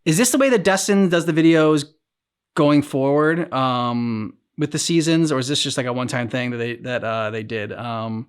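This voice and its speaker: Man, mid-pitched voice, casual discussion, reaction show host, halting, thinking aloud. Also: smooth.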